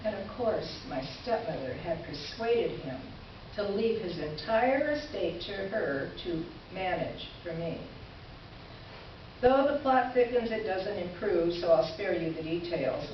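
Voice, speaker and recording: A woman playing a wry, kindly letter writer in a staged reading, with measured, articulate, theatrical delivery. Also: medium pitch, close to an everyday voice. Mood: wry, amused. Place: live stage presentation in a roomy venue. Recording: distant, echoey, muffled live stage recording, noisy.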